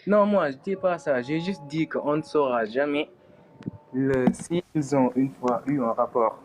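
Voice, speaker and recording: A man's voice: medium pitch, a little slow. Guy on a live debate, stumbles over words a lot, bit of background hiss. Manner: hesitant, stammers on words.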